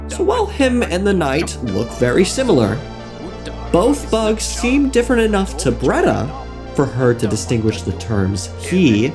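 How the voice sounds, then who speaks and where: A young man narrating a scripted comedic video essay on game lore in a playful, mock-serious manner. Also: fairly high pitch. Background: background music.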